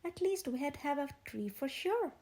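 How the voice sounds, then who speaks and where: A woman reading the lines of a gentle, cautious male animal friend in a storybook, soft and doubtful. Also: high voice, lively intonation, unhurried.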